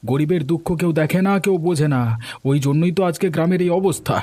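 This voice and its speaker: A man's voice: on the high side, fast talker, mellow, no room sound, lightly acted. A man playing a sympathetic village man in a cartoon story, talking in a resigned, conversational way. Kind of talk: Bengali cartoon story acting.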